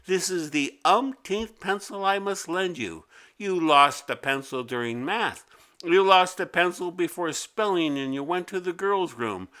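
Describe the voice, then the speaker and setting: Male schoolteacher, storybook, acted by a man: exasperated, emphatic, scolding, lifted pitch, forceful. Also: heavily acted. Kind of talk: children's storybook character voice.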